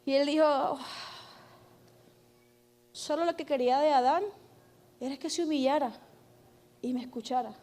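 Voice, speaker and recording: High-voiced woman pastor preaching loudly. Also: normal speed, pauses often. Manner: shouting, emphatic preaching with call-and-response. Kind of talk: sermon.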